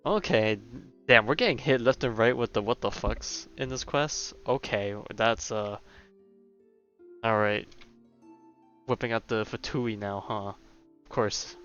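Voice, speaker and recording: A man's voice: medium pitch, a little slow, fairly bright tone, lo-fi sound, faint music behind. A man live-streaming a game, giving casual, wry reactions and muttered asides, with some swearing.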